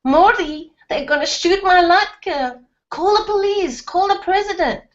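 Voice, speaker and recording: A woman's voice: high voice, measured pace, voice pushed up. A woman voicing a frantic, dramatic middle-aged mother, wailing and exasperated, on a slightly dull lo-fi recording.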